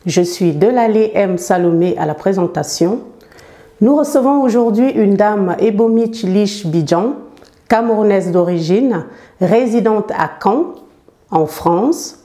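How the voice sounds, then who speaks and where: A woman hosting a TV interview program, speaking in a formal, deliberate presenter's manner. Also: mid-range voice, warm tone. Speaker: TV show host interviewing a guest. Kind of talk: formal scripted introduction, then interview questions.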